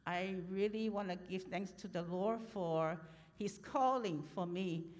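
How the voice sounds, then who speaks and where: A woman's voice: mid-range voice, a little slow, darkish tone. A woman interpreting a sermon into English, hesitant and self-correcting with many fillers. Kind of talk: consecutive church interpreting. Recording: long hall reverb.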